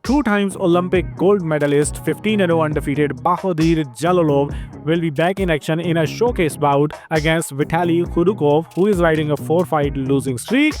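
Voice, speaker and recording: A man with an Indian English accent hosting a fight news show, briskly listing upcoming bouts in a scripted rundown. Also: on the high side, a little mellow, soft background music. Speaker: host of a fight news channel.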